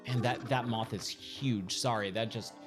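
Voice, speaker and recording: A man with a scratchy, hoarse voice chats casually while playing pinball. He talks slowly at a middle pitch, often trailing off and getting sidetracked. Game music plays clearly behind him. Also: steady tone. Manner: easily distracted, thinks aloud mid-sentence. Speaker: game streamer.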